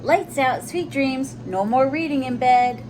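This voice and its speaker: A woman reading a picture book aloud to preschoolers in an animated way, stopping to ask the children questions. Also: high voice, a little slow, noisy background. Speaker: children's storyteller. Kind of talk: read-along for preschoolers.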